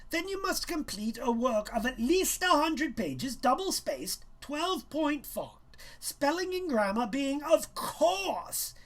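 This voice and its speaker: A man's voice: extremely high pitch, fairly bright tone, pitched above the performer's own voice, heavily acted. A man voicing a stern, vain male schoolteacher in a storybook reading, speaking in a pompous, condescending and emphatic way.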